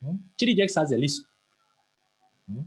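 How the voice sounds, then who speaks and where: A man's voice: a little high, big pitch swings, normal speed, slightly rough. A man giving a motivational talk, earnest and emphatic, who stammers and repeats words now and then. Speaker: motivational speaker.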